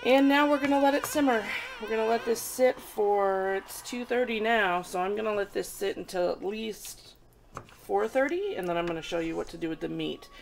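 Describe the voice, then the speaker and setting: A woman's voice: medium pitch, moderate pace, vocal fry, soft background music, low-quality recording. A woman filming herself at home as she cooks, explaining each step in a chatty, instructive way with many fillers, recorded on a handheld camera in her kitchen. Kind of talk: casual cooking demonstration. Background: food sizzling in a pan.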